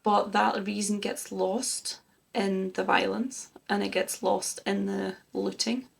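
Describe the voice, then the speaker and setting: A woman's voice: medium pitch, level tone, slow, vocal fry, breathy edge. A woman who grew up in Germany, co-hosting a music reaction chat and thinking aloud in an earnest, casual way. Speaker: co-host of a music reaction channel.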